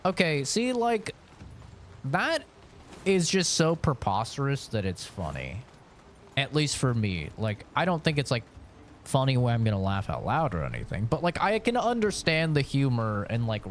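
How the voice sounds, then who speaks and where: Man in his late twenties, lively rambling chatter. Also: medium pitch, unhurried, slight background noise. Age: late 20s. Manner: rambling, frank, lots of "like" fillers. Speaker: streamer. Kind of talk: casual chat while gaming.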